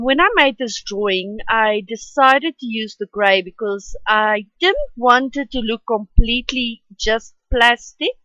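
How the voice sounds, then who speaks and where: A woman with an Afrikaans accent talks through her drawing in a slow, unhurried, rambling way. Her voice sits a little high. The home recording sounds dull, lo-fi and dry. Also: pushed and bright, dry recording. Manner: chatty and rambling, with many ums. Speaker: artist narrating her drawing. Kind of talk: casual voice-over for a speed drawing.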